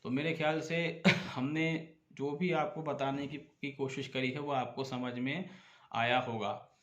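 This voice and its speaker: A man speaks in a roomy, very echoey space. His voice sits a little high for a man. He explains points like a lawyer addressing viewers, pressing his case with emphasis and rhetorical questions. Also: muffled recording. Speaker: advocate giving legal advice as channel host.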